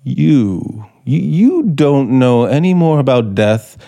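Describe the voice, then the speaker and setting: An actor playing a brooding, manipulative scriptwriter in 1950s radio suspense style, probing deliberately with dramatic pauses. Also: male voice, mid-range voice, very animated, vocal fry, mellow, heavily acted. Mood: intense, testing. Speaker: actor in the lead role. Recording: 1950s radio broadcast, music behind.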